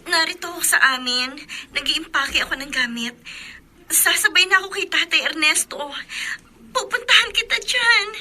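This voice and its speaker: A woman's voice: extremely high pitch, normal speed, heavily acted. A woman playing a shy, tearful young woman. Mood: tender. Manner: soft, polite, tearful. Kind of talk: radio drama acting.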